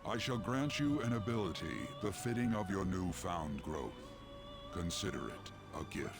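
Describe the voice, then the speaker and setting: An actor playing a grave old master in a video game, with formal, ominous, deliberate speech and a gravelly voice. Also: male voice, sits low, animated. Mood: solemn, ominous. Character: grave old male master of a rehabilitation room. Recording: music behind the voice.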